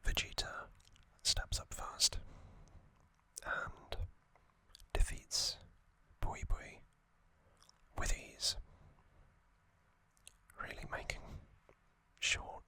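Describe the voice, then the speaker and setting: Whispered ASMR host, breathy, very slow, crisp bright whisper, soft trivia storytelling. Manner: slow, soft, unhurried telling with hesitant fillers and self-corrections.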